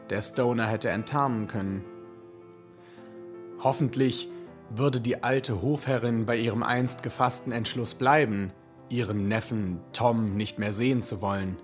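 A man narrating a radio play as a scripted, literary story in a measured, dramatic manner. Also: medium pitch. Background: music.

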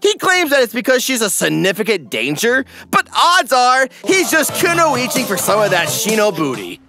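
A man's voice: extremely high pitch, huge pitch swings, moderate pace, over music. A man giving wry, playful, exaggerated narration for a scripted comedy recap.

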